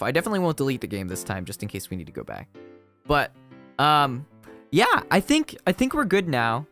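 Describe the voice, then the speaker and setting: A man's voice: mid-range voice, fairly bright tone. A man streaming a game and chatting casually, with reverb on the voice. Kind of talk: casual livestream chat. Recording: reverberant, echoey voice effect.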